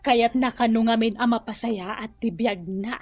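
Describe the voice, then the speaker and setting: A woman's voice: on the high side, moderate pace, a little mellow, soft background music, natural voice. An actress playing a long-suffering, protective middle-aged mother in a radio drama, with an Ilocano accent. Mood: indignant. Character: long-suffering, protective village mother, reproachful but loving.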